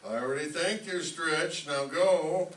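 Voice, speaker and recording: A man's voice: on the high side, measured pace, a bit bright. A man playing a bossy, blustering, easily flustered school principal in a radio comedy. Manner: officious, curt, exasperated. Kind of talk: old-time radio comedy acting. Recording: echoey room, distant microphone, faint noise.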